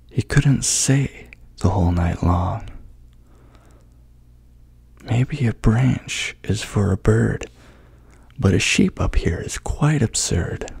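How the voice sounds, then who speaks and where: A man reads a children's storybook as soft ASMR, often sinking into a whisper. His delivery is gentle and unhurried, with a husky, breathy texture and some creak. The sound is intimate and hushed. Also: on the low side, dry recording. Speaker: ASMR host reading a bedtime story.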